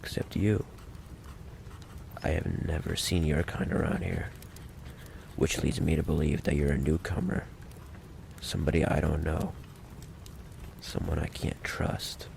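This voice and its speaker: A man playing a menacing, impatient mafia boss in an ASMR roleplay, taunting the listener with slow, mock-courteous threats in a gravelly voice. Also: steady tone, darkish tone, a little hiss or hum, exaggerated voice. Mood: controlling, suspicious. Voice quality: deep, gravelly. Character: menacing, possessive male mafia boss, smooth-talking and impatient.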